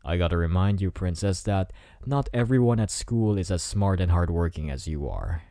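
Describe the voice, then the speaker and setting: A man's voice: low voice, moderate pace, mellow, no echo, studio quality. A man playing a cocky, teasing teenage delinquent student in an ASMR roleplay, with a smug, taunting delivery.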